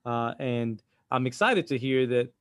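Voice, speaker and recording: A man hosting an interview podcast in a conversational, somewhat halting way, often repeating words. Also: mid-range voice, lively intonation, clean tone, dry recording. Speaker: podcast host.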